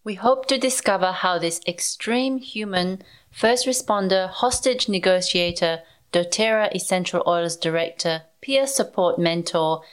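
A woman's voice: fairly low pitch, measured pace. A female podcast co-host reading upbeat introductions and asking encouraging, enthusiastic questions. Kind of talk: scripted intro, then conversational interviewing.

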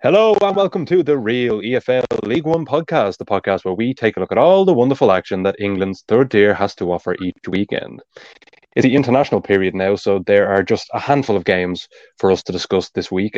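Deep-voiced Irish podcast host. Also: male voice, a little mellow, dry recording, muffled recording. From Ireland. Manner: talks fast, explains tactics at length. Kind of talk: casual football podcast chat.

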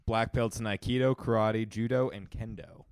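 A man's voice: on the low side, fairly even intonation, normal speed, dry recording. A young man co-hosting a casual movie podcast, joking and opinionated, laughing often. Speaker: podcast co-host. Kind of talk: casual movie-review chat.

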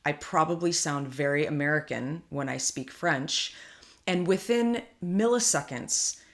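A woman from California with a low voice talks through her thoughts in a relaxed podcast chat. She rambles, circling back with plenty of fillers and half-started sentences. The tone stays conversational and easygoing throughout. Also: a little slow. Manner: rambling, thinks aloud with many fillers. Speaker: podcast co-host. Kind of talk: casual chat.

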